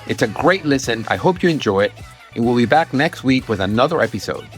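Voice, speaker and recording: A man's voice: mid-range voice, animated, fairly quick, faint music behind. A man hosting a podcast, talking in an enthusiastic, emphatic way as he introduces and interviews. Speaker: podcast host.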